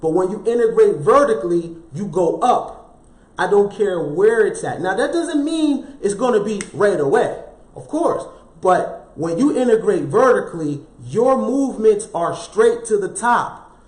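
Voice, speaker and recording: A man's voice: high voice, very animated, unhurried, darkish tone. A man delivering a motivational self-help talk with a slightly raised voice. He preaches through rhetorical questions and repeated key phrases, with casual slang asides. The sound is roomy and echoey. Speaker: motivational speaker.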